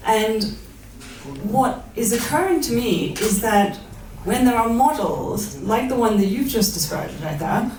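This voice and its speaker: A woman's voice: fairly high pitch, lively intonation, measured pace, a little mellow. A middle-aged woman from southern India, a charity chief executive, putting a considered question from the floor at a panel event. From Chennai, India. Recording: echoey room, distant mic, background noise.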